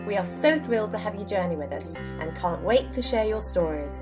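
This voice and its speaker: Announcer: woman, mid-pitched, upbeat scripted intro, loud instrumental music underneath. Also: expressive, a little fast. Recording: voice over loud music bed.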